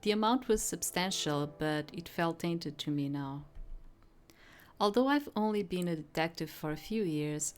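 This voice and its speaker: A woman reading a visual novel aloud as dramatic first-person story narration. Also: low voice. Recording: soft music behind the voice.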